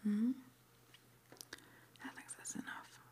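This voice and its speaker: A woman whispering breathily in an ASMR roleplay, gently and hesitantly asking questions like an interviewer. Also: slow. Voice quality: breathy whisper, mostly unvoiced.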